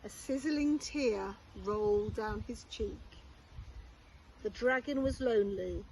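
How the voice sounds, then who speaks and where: A woman reading a children's storybook aloud expressively, like a primary school teacher, with measured, deliberate phrasing. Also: mid-range voice, a little mellow, slight background noise, rough recording.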